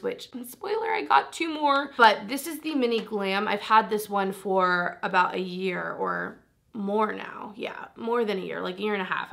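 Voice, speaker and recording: A young woman talking straight to camera in a cosy home room, chatty and upbeat, with lots of loose fillers. Her voice sits at a middling pitch but comes out rough, gravelly and crackly, as if it has not quite recovered. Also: a bit bright. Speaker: beauty vlogger. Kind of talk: casual chat to camera. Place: decorated home room with salt lamp.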